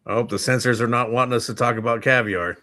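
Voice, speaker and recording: A man's voice: mid-range voice, steady tone, fast talker, pushed and bright. An American man co-hosting a talk show, chatting casually in an easygoing, jokey way. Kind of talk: casual chat.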